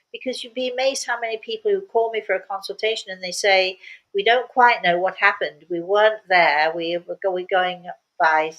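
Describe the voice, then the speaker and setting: A woman's voice: mid-range voice, a bit bright, low-quality recording. Older woman, emphatic casual storyteller. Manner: emphatic, repeats words for emphasis. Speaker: spiritual medium telling stories and answering questions.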